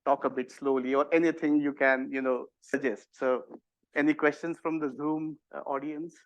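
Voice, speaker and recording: A man with an Indian accent lectures a workshop audience in a fairly high voice. His talk is conversational and full of restarts and fillers. The sound is dry and close. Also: steady tone, moderate pace, no echo, poor audio. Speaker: workshop instructor.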